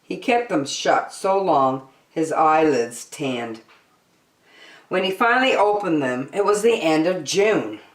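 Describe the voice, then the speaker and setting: A woman reading a children's picture book aloud as host, deliberately phrase by phrase, in an echoey room. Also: low-pitched, animated. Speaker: storytime host and reader. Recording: roomy echo.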